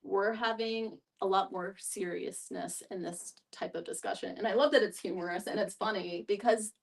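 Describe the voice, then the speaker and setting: A woman's voice: mid-range voice, fairly even intonation, moderate pace, creaky. Woman facilitating a discussion, heard at a distance. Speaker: therapist facilitating a group discussion. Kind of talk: reflective group discussion. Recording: group in room, distant mic.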